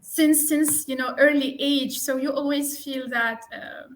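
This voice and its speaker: A woman's voice: sits high, fairly even intonation, measured pace, a little hiss or hum. A woman from Tunisia, a native Arabic speaker, chatting as an interview guest, talking freely with restarts and small laughs. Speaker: guest entrepreneur. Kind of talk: casual interview conversation.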